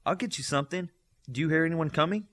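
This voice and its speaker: A man's voice: on the high side, fast, a bit bright, voice pushed up. A man voicing a teasing, knowing young telepath girl in an audiobook story. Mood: helpful. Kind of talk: audiobook character dialogue.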